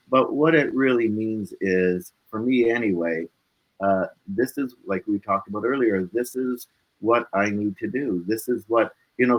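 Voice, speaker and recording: A man's voice: fairly low pitch, low-quality recording. A man speaking as a musician guest in a casual live interview, with informal, laid-back phrasing.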